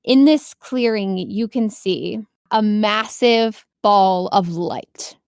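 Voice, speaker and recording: A woman's voice: medium pitch, slow, a little mellow, smooth, dry recording, clean studio sound. A woman running a tabletop game as game master, improvising narration and descriptions for her players.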